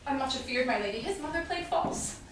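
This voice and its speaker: A witty young heiress of Shakespearean drama played by a woman, world-weary and high in pitch. She is heard from a distance in a very echoey room, in a staged reading with faint noise. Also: lively intonation, brisk. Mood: mocking, amused. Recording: roomy echo, distant mic, faint noise.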